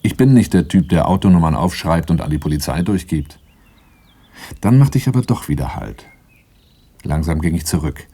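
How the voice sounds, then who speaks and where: Male, brooding first-person narrator for crime radio play, very low, dark mellow voice, self-assured and understated. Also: animated, moderate pace, long pauses, slightly rough, full character voice. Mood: watchful.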